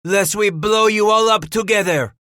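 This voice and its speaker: A man's voice: high voice, moderate pace, sharp tone, no room sound, studio quality, voice pushed up. Man acting a bellowing, hot-headed French frontiersman. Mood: threatening. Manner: bellowing threats. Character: bellowing, hot-tempered French frontier brother leading men.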